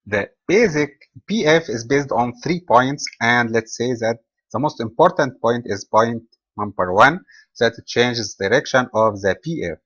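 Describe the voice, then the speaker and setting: A man from Egypt hosts an online trading webinar, reading his lesson at a steady, even pace with slightly non-native phrasing. The recording is dry and somewhat dull, with a mid-range voice. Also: mid-range voice, measured pace, no room sound. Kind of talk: scripted webinar lecture.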